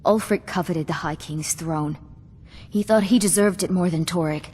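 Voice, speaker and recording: A woman's voice: fairly low pitch, moderate pace, a little mellow, husky. A woman voicing a young widowed ruler in a fantasy video game, regal and solemn, with grief and bitterness under her formal speech. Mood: bitter, grieving. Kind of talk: scripted game dialogue. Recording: long hall reverb.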